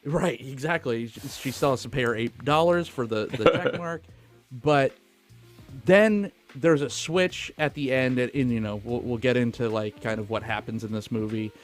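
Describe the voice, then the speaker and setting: A man chats as co-host of a film review podcast in a mid-pitched voice. His talk is casual and profane, with music playing underneath. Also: moderate pace.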